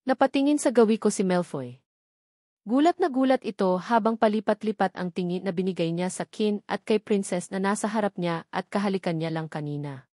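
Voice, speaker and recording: A woman's voice: mid-range voice, fairly quick, warm tone, no room sound. Woman narrating a romance novel, close-up sound. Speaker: audiobook narrator. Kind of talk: dramatic first-person romance novel narration.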